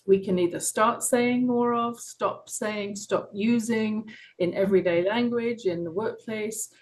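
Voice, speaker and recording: Woman, London accent, middle-aged, earnest, passionate, soft laughs. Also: medium pitch, unhurried. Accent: London English. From England. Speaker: author and guest. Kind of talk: reflective personal interview.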